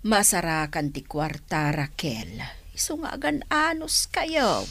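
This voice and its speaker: Slow, expressive older Ilocano aunt. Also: female voice, on the low side, exaggerated voice. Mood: advising. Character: older aunt, generous, advice-giving, patient. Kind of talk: Ilocano radio drama acting.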